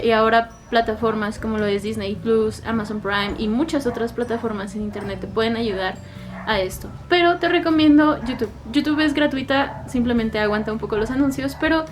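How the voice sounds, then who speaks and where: A woman in her twenties with a Mexican accent, chatting directly to the viewer in a casual vlog about how she studies languages. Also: on the high side. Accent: Mexican Spanish. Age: 20s. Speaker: language-learning YouTuber. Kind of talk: casual explanatory vlog talk.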